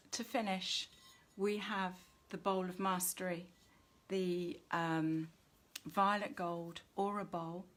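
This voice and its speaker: A woman giving an unscripted spiritual oracle reading in gentle, deliberate phrases with long pauses, sounding low in energy. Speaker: spiritual reader and host.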